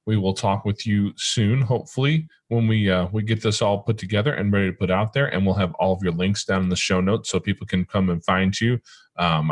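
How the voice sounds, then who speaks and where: Deep-voiced Midwestern podcast host. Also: male voice, no echo. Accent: Midwestern American. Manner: rambling, self-correcting, laughs easily. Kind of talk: casual interview chat.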